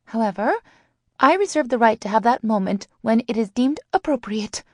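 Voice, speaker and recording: A sweet, nervy teenage girl, put on by a woman narrator, pitched well above the reader's usual voice. She is prim and easily exasperated, a storybook heroine in a dry, close recording. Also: expressive, normal speed, no echo. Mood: flustered, prim. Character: anxious, sweet teenage girl, indignant when pushed.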